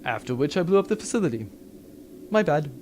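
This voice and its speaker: A man's voice: on the high side, sing-song, brisk, slight background noise. A man playing a flippant, sheepish starship captain with an offhand manner in a comedic audio drama. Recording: loud music under the voice.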